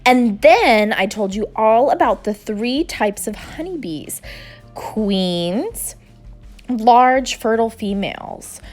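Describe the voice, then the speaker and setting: Slow, animated woman reading a storybook. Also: a little high, soft background music. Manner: deliberate, animated, acting out dialogue. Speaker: storybook reader. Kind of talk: expressive children's read-aloud narration.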